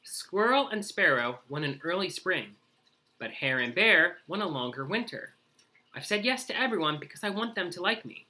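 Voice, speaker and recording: Storybook groundhog, male, played by a man reader: nervous people-pleaser, earnest, apologetic, sharp bright tone, lively pitch. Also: moderate pace, rough recording. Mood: worried. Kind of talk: children's storybook character voice.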